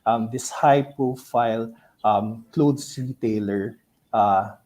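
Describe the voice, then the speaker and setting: A man with a Filipino accent giving a business presentation to investors in a halting way, often tagging phrases with "no?". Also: medium pitch, darkish tone. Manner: halting, ends phrases with a tag "no?". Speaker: company investor relations presenter. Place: virtual call.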